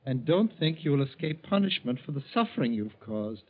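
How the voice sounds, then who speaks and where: Uneasy, evasive husband, tinny old radio. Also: male voice, medium pitch, sing-song, fairly quick. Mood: subdued, nervous. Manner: hesitant, stammering, guarded politeness. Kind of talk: 1940s radio acting. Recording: tinny, band-limited 1940s radio recording.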